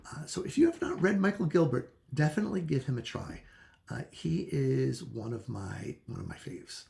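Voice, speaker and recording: A man with a mid-pitched voice and very heavy creak gives an informal book review. His intonation is animated and his opinions come through with enthusiasm. He speaks off the cuff, often hesitating as he hunts for the right word. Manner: hesitant, searching for words. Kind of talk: casual unscripted book review to viewers.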